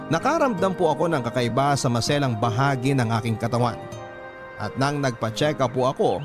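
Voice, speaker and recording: A man narrating a scripted letter as a radio host, with dramatic phrase-by-phrase delivery. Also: mid-range voice. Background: background music.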